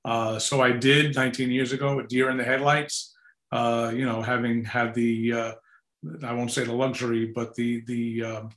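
A man in his sixties with a New York accent, a company founder chatting in an interview, relaxed and rambling with many fillers. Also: medium pitch, normal speed. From New York City. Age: 60s. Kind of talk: casual interview chat. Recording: roomy echoey sound.

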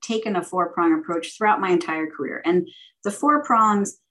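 A woman in her fifties with a notably low voice answers interview questions about her career in banking. She speaks in a measured, methodical way and sets out her ideas as orderly lists, pausing on the occasional "um". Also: sharp tone. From San Francisco, United States. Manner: measured and orderly, laying out points as lists with "um" pauses. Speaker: guest, banking executive and board director.

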